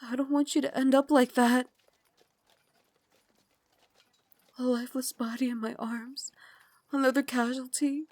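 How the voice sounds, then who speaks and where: A woman voicing a tender, guilt-ridden young Victorian vampire lady in an intimate ASMR roleplay, with formal, dramatic, confiding phrasing that slips into breathy whispers. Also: sits high, a little mellow, no room sound, close to an everyday voice. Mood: anguished, pleading.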